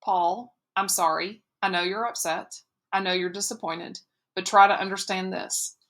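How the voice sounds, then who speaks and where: An awkward middle-school soccer coach, halting and stiff as he cites rules, then quietly sorry, in a woman's reading. Bright-toned and restrained rather than gruff. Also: medium pitch, lightly acted. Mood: apologetic. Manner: halting, bureaucratic, then softly apologetic.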